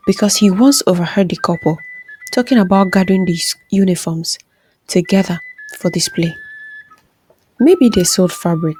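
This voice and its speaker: A woman storyteller reads a scripted tale. Her voice sits fairly low and is dark and mellow. Light music plays behind her narration. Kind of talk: scripted narration of a folktale-style drama story. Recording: music under the voice. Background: background music.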